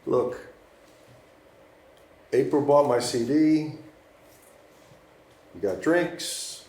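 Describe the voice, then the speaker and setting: A man playing a defensive, rattled singer-songwriter suspect with halting delivery in a live screenplay table read. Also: mid-range voice, a little mellow, echoey room.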